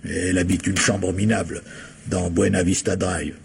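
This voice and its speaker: A man's voice: sits low, level tone, moderate pace, creaky, slight background noise. An actor playing a gossipy film-studio informant in a French radio crime play, colloquial and emphatic in his telling. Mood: scornful gossip.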